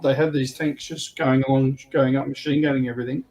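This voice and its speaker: Mid-pitched man chatting informally. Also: level tone, fairly quick, a little mellow. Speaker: livestream panelist. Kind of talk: casual chat, informal storytelling.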